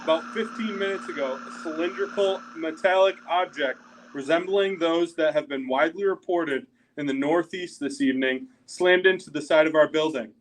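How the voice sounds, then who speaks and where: An actor playing a trapped but composed field reporter giving a news report in a radio drama. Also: male voice, fairly high pitch, moderate pace, hardly any pauses, lightly acted, low-quality recording. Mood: urgent, composed.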